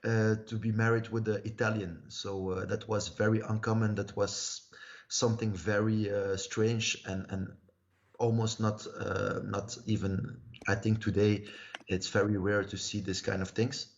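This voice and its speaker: Man, thirties, from Belgium, hesitant storytelling, slightly low voice, dull lo-fi livestream sound. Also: flat delivery, vocal fry. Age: 30s. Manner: halting, searches for words in a second language. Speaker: guest telling his life story. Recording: muffled lo-fi livestream audio.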